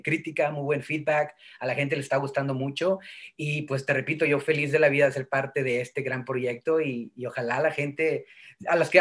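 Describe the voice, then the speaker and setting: A man in his thirties from Mexico with a neutral Mexican Spanish accent, an actor talking as an interview guest over a video call from home, animated and dropping English words in. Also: fairly high pitch. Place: home room.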